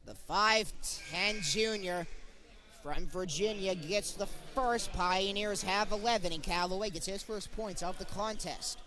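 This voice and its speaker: A young man commentates basketball in a very high, bright voice. His play-by-play is excitable, following each pass in short clipped phrases. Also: a little slow, faint music behind. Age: young adult. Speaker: sports commentator.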